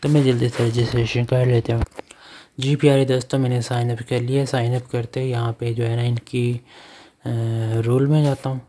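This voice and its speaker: Flat-voiced man. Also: mid-range voice, moderate pace, slight background noise. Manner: instructional, repeats step-by-step directions. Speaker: online earning channel host. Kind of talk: screen-recorded app walkthrough. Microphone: voice-over to phone screen recording.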